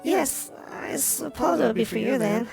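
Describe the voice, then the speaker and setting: Female actor as melodramatic doll, game character, prim, pouty, formal, highish voice, creaky, music playing loudly. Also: moderate pace. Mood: sulky. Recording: loud music behind the voice.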